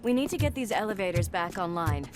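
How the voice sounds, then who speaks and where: A woman playing a loyal, tough police officer in a video game, with brisk, dry banter. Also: mid-range voice, sing-song, over music, lightly acted. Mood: dry, practical. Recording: vehicle and street noise behind.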